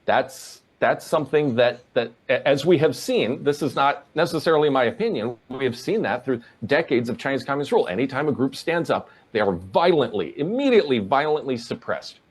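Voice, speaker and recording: A man from Oregon answering interview questions as a guest, in an earnest, measured way. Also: medium pitch, expressive, slightly rough. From Portland, Oregon, USA.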